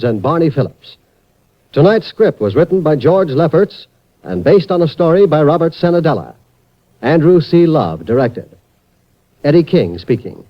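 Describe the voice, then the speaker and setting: A man with an American accent announcing in scripted 1950s radio broadcast style. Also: a little high. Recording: dull vintage 1950s radio recording.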